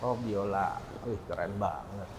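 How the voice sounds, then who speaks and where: A man's voice: fairly low pitch, unhurried. A man, sounding middle-aged, interviewing casually and jokily as host, often repeating answers back playfully. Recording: echoey hall, faint background noise.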